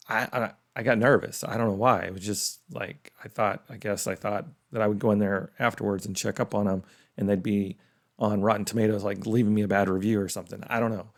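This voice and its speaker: A middle-aged man hosting a casual, conversational demonstration in a musing way. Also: on the low side. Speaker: host.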